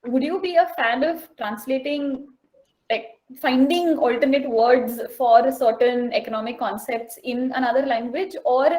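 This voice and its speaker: A woman's voice: high-pitched, darkish tone, rough recording. A woman asking a question in a casual podcast conversation, curious and questioning.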